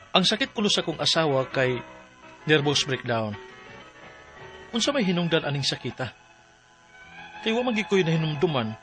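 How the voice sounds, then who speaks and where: A man's voice: on the high side, close to an everyday voice. A man acting a worried, weary husband in a radio drama, earnest and troubled. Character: worried, weary working husband pleading for his wife.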